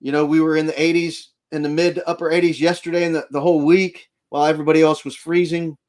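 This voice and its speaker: A man's voice: a little high, little pitch movement, fast, darkish tone. A man from Florida hosting a casual, rambling live stream from inside his RV camper, chatting with viewers and thanking them. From Florida, United States. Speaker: livestream host. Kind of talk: casual rambling livestream chat.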